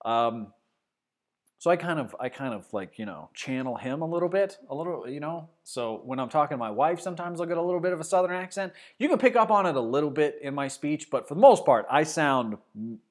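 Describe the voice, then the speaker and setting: Man from Arkansas, lively up-and-down pitch, slightly high voice, sarcastic rambling host. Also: fairly quick. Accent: mostly neutral Midwestern. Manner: rambling, sarcastic, trails off and restarts. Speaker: livestream show host. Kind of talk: casual football talk, reading chat comments.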